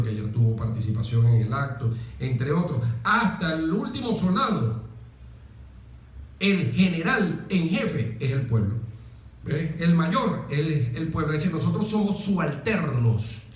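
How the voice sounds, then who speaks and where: A man's voice: a little high, darkish tone. Venezuelan man in a very echoey room. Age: 40s. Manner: deliberate, emphatic with pauses and repetition. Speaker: interview guest, military officer. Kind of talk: reflective, oratorical interview talk.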